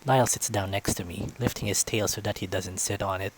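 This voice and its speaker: A man with a fairly low voice reads a visual novel aloud. He delivers the prose as storytelling narration, scene by scene. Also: steady tone, fairly quick, husky, poor audio. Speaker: visual novel narrator, let's-play reader.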